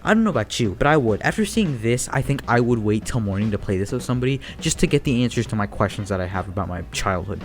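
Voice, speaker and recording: A man's voice: mid-range voice, darkish tone. A man giving casual review commentary as a YouTuber, slangy and sweary, rambling with mock exasperation. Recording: faint music under the commentary.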